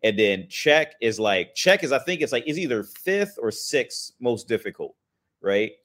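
A man's voice: medium pitch, sing-song, unhurried, a bit bright. A man in his thirties, talking in a rambling, casual monologue, swearing often and restarting his sentences. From United States. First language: English.

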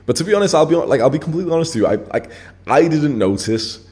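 A man's voice: on the high side, very animated, very fast, darkish tone. A man in his late twenties hosting his own channel, talking blunt and casual straight to camera, swearing freely and leaning on filler words. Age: 20s. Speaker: channel host.

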